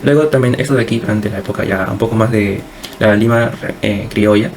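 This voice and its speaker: A man's voice: fairly low pitch, steady tone, brisk, a little hiss or hum. A young man with a Peruvian accent giving an informal class presentation as a student, hesitant and often repeating words. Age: young adult.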